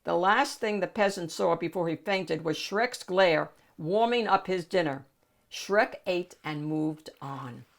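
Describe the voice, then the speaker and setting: A woman's voice: on the low side, a little slow, creaky. A woman hosting a children's read-aloud, narrating a picture book expressively.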